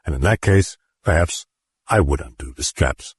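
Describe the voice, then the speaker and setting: Calm investigating priest, read by a male narrator: low, husky, patient and measured. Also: no room sound, exaggerated voice. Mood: probing. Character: calm, probing middle-aged male priest.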